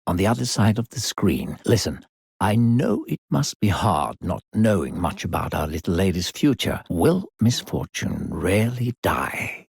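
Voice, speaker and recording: Deep, gravelly, sly storyteller. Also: male voice, big pitch swings, measured pace, dry recording, studio quality, heavily acted. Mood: conspiratorial. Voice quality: gravelly, husky. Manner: coaxing, patronizing, theatrical. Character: unseen sly male narrator, coaxing, falsely kindly game guide. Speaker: game narrator and guide. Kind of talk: theatrical video game narration addressed to a child.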